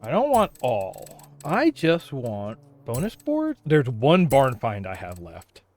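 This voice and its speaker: A man chatting casually on a gaming livestream, thinking aloud in a halting way. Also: medium pitch, huge pitch swings, a little mellow. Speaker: game livestreamer.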